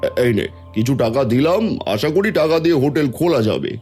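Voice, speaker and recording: A man voicing a kindly, authoritative village landlord, measured and paternal, in a cartoon story. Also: a little high, big pitch swings, darkish tone, faint music behind. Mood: benevolent. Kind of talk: cartoon moral-story voice acting.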